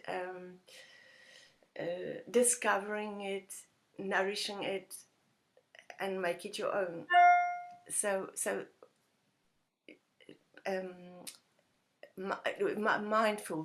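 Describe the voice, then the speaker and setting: Gravelly-voiced woman groping for words. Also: mid-range voice. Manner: searching for words, frequent restarts and laughs. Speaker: participant. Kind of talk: casual sharing.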